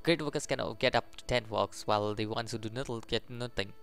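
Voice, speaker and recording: A man's voice: medium pitch, fairly quick, vocal fry, fairly bright tone, clean tone. A man hosting a gaming video, reading story text aloud with stumbles and adding reactive commentary, faint music behind. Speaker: gaming channel host. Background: faint game music.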